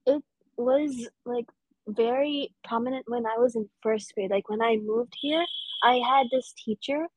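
A woman's voice: fairly high pitch, a little slow, dry recording, low-quality recording. A young woman with an American accent, a podcast guest chatting casually with lots of fillers and easy laughs. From United States. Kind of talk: casual interview chat.

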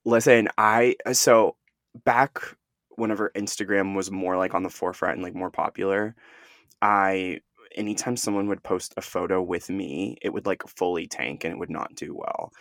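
A young man hosting a podcast over a video call, congested and phlegmy, self-deprecating and quick to laugh. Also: deep voice, steady tone, fairly bright tone, no echo, clean studio sound. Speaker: podcast host. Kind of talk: casual chat.

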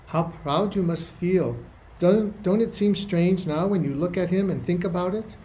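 A man's voice: high-pitched, normal speed, dark tone, a little hiss or hum, lightly acted, rough recording. A man playing a kindly, chatty rustic housewife in an amateur radio play, with a homely, fussing manner. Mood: chatty, wondering. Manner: homely, fussing, folksy dialect.

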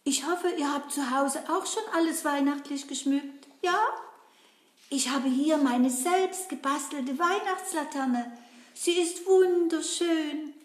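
A sweet, chirpy young woman chats brightly to the children in a puppet show, as a woman plays her. Her voice sits high and sing-song and moves along slowly. A roomy echo surrounds it. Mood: sweet, chatty.

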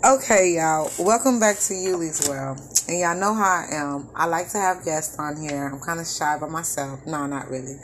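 A woman hosting a casual podcast from an apartment, chatty and quick to laugh, talking to her listeners as "y'all.". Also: low-pitched. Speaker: podcast host. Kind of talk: casual chat.

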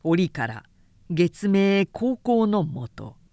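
A woman reads literary prose in an expressive audiobook style. Her pace is slow and deliberate, with lively, varied pitch. The recording is very dry. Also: no echo. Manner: clear, expressive storytelling. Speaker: narrator, reader.

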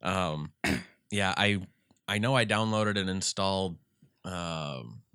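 Low, congested male co-host, bright and clear, dry sound, rambling gamer chat. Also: takes it slowly, dry recording. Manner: rambling, animated retellings. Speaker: podcast co-host. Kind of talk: casual gaming chat.

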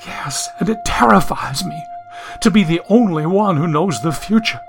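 A man's voice: high-pitched, lively intonation, normal speed, music in the background. A man playing a prim, frightened 1870s lady. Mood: troubled. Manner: anxious, prim and proper. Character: genteel young woman from the 1870s, frightened and lost. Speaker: audiobook narrator. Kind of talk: audiobook reading.

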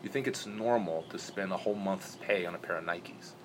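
Fast-talking deep-voiced man from Brazil. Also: noisy background. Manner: rambling, many restarts and "you know". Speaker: interview guest. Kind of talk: casual interview talk.

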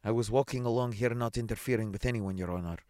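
A man's voice: on the low side, quick pace, a little mellow, no room sound, clean studio sound, natural voice. A man voicing a half-drunk goldsmith in a story reading, self-righteous, pleading and indignant. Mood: aggrieved pleading. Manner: pleading, indignant, deferential to authority. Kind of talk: dramatised short story reading.

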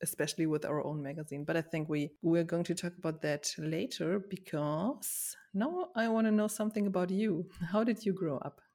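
Low-voiced woman, chatting reflectively. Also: normal speed, hardly any pauses, darkish tone. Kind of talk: casual personal chat.

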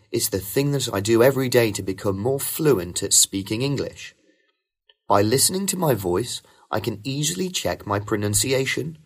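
Male test candidate, lowish voice, animated, polished scripted delivery. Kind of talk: scripted model speaking-test answers.

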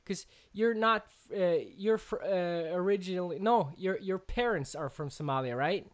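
A man hosting a podcast, asking questions in a halting way and stumbling over his words. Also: high-pitched. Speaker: podcast host. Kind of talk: casual interview chat.

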